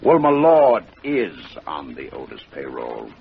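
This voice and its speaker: An American actor playing a sarcastic, college-educated police lieutenant in a 1950s radio drama, articulate and needling. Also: male voice, extremely low pitch, huge pitch swings, measured pace, gravelly, husky, exaggerated voice. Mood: sardonic. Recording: dull, noisy old radio recording.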